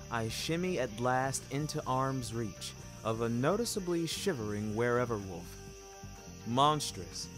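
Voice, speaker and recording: A man narrating a fiction podcast in the first person, with florid, precise, theatrical delivery. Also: mid-range voice.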